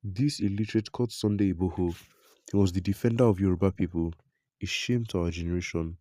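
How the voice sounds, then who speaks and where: A man narrating a news report in a scripted, even read, quoting an interview and reading out public comments. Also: low voice, quick pace, no echo.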